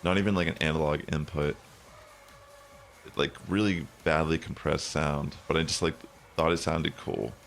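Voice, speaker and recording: Streamer: young man, bassy low voice, rambling casual talk, gravelly edge, faint music under him. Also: flat delivery, moderate pace. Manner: rambling, many fillers. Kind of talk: casual chat while gaming.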